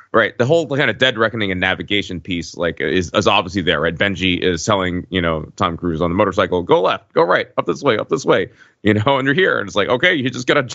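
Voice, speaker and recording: A man co-hosting a podcast, casually discussing film in a halting way, repeating words and often checking in. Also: fairly low pitch, pushed and bright, no echo, lo-fi sound. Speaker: podcast co-host.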